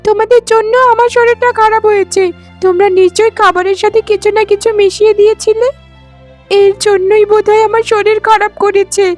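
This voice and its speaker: A woman's voice: very high-pitched, level tone, brisk, a little mellow, faint music behind. A woman voicing a sickly, stubborn youngest wife in a cartoon melodrama, with an agitated, wailing delivery. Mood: fearful self-pity.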